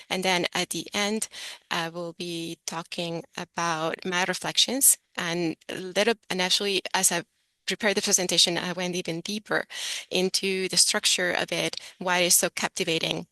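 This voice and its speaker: A woman walks listeners through her slides in a careful, explanatory way, often correcting herself as she goes. Her voice sits low and bright, with little rise and fall. The sound is dry and close. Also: dry recording, low-quality recording. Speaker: presenter. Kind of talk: online presentation with slides.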